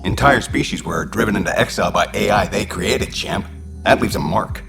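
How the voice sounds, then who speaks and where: An actor playing a gruff, plain-spoken man on a space station in a video game. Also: lowish voice, moderate pace. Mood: wry. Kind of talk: video game dialogue acting. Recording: distant, echoey, loud music behind.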